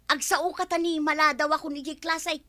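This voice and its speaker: A woman's voice: high voice, little pitch movement, normal speed, sharp tone. A woman playing an irritable, bossy female schoolteacher who scolds in exasperation in an Ilocano radio comedy drama. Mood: irritated, scolding.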